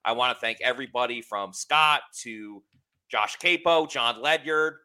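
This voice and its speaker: Guy hosting a podcast, bright forceful voice, mid pitch, friendly with lots of ums. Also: normal speed, clean tone. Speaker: podcast host. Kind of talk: casual show announcements.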